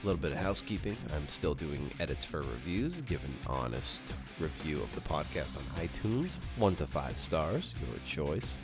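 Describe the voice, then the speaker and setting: A man's voice: rumbling low, very animated, normal speed, few pauses. A man hosting a podcast, narrating a casual scripted intro with playful, informal asides. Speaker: podcast host. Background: guitar music.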